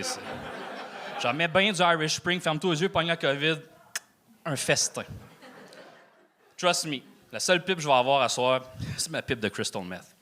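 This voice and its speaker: A man with a Québécois accent performing a stand-up routine, delivering crude punchlines flatly between filler pauses. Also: a little high. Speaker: stand-up comedian. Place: comedy club stage.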